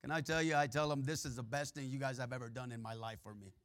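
A man with a Mexican-Texan accent preaching a sermon, full of "uh" and "amen" fillers, swinging between loud preaching and quiet asides. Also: mid-range voice, fairly even intonation, quick pace, no room sound, studio quality. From Mexico and Texas.

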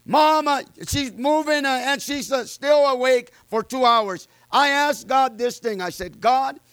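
High, bright-voiced fervent male preacher. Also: measured pace, smooth, no echo. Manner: loud, fervent, peppered with amens and fillers. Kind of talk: sermon with personal testimony.

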